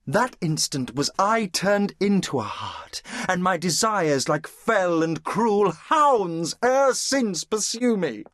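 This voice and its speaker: Slow, languid lovesick duke. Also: male voice, high-pitched, very animated, fairly bright tone, no room sound, studio quality, full character voice. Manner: languorous, poetic, sighing delivery. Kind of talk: Shakespearean audio drama.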